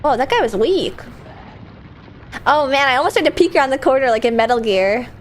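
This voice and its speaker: A woman's voice: sits high, expressive, fairly quick, a little hiss or hum. A young woman streaming a game while sick, chatting in a playful, giggly, offhand way. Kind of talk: casual gameplay chat.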